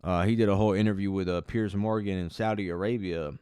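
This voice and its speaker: Man, low smooth voice, casual profane commentary, restarts a lot, dry sound. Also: fairly even intonation, fast, a little mellow, no room sound. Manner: profane, rambling, many restarts. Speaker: commentary channel host.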